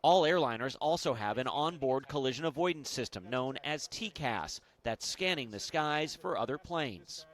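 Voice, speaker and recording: A man's voice: medium pitch, expressive, moderate pace, few pauses, fairly bright tone. A man with an American accent, working as a TV reporter and reading scripted news narration.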